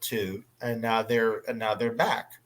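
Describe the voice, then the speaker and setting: A man's voice: mid-range voice, steady tone, unhurried, fairly bright tone. Man casually moderating a panel discussion. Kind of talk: casual convention panel talk.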